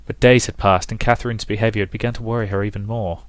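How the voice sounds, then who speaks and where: Low-voiced man reading a story aloud. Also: steady tone, fast. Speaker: let's player reading a visual novel aloud. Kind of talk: dramatic storybook-style narration with commentary.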